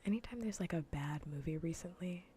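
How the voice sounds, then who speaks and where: A woman livestream host chatting casually in a soft, breathy, mostly whispered ASMR voice, rambling informally and repeating phrases for emphasis. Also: fairly low pitch, lively intonation, a little fast, slight background noise.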